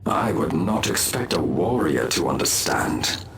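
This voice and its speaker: A man's voice: low-pitched, sing-song, unhurried, vocal fry, echoey room, background noise, full character voice. An actor playing a cunning, sardonic male elf outcast in a video game, mocking and theatrical in his delivery. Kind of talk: scripted video game acting.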